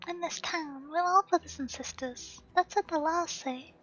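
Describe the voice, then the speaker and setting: A gentle, devout young village girl speaks softly and earnestly, read aloud by a hoarse woman. The voice sits high and a little rough. The stream audio is slightly muffled, with faint game music underneath. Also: normal speed.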